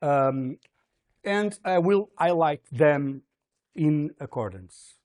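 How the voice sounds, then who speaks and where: A man's voice: on the high side, expressive, slow pace, a little mellow. A man with a Portuguese accent giving a livestreamed university programming lecture, hesitant with many fillers and frequent "okay?" checks.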